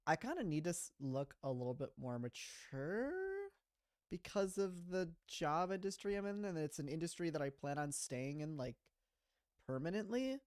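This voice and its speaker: A man's voice: a little high, lively intonation, moderate pace, darkish tone, clear, resonant tone, no room sound, studio quality. A man in his mid-twenties, a streamer chatting casually and rambling with frequent laughs while reading his chat. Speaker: streamer and animator.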